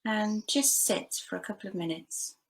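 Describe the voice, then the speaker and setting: A woman leading a guided body scan meditation, giving gentle, unhurried instructions with long pauses between phrases. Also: mid-range voice, expressive, vocal fry. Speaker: meditation teacher.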